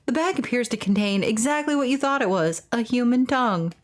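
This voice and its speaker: A woman's voice: fairly high pitch, animated, brisk, slight background noise. A woman game streamer reading game text aloud and commenting as she plays.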